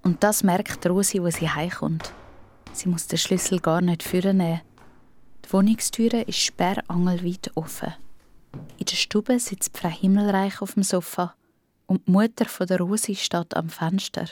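Swiss German female narrator for a children's story, lower register. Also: steady tone, measured pace, a little mellow.

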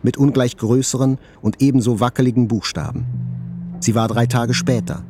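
An actor playing a journalist who soberly recalls his own life in measured literary narration. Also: mid-range voice, close to an everyday voice. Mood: reflective. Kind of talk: literary first-person narration in audio drama. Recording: faint music behind the voice.

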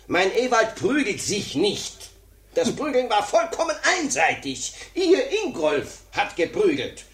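A man's voice: high-pitched, sing-song, unhurried, full character voice. An actor playing an indignant, pedantic father who sputters with outrage in a 1950s German radio comedy. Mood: outraged. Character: indignant, huffy father defending his wimpy son. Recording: roomy 1950s radio play recording.

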